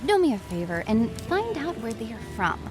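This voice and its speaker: Lady playing a feisty pirate captain, bossy n commanding, really lively pitch, music behind. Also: normal speed. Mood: stern. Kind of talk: audio pirate adventure skit.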